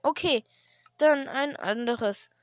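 A hoarse woman with a fairly high voice gives casual commentary as she plays at home. She talks slowly, hesitates and restarts her sentences. The desk mic sounds close and dry. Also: dry recording, muffled recording, soft background music. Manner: hesitant, rambling, many fillers and restarts. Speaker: gaming channel host. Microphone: desk microphone.

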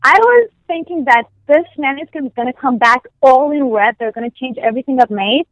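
A woman, not a native English speaker, telling her story as a podcast guest in a lively, animated way, acting out the conversations she recounts. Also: sits high, fairly quick, no room sound, muffled recording. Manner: animated storytelling, acts out quoted exchanges. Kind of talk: casual interview conversation.

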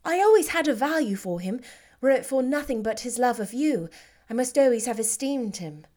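A woman voicing a gentle, sweet-natured young woman who speaks softly and earnestly. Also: a little high, lively intonation, moderate pace. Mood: affectionate.

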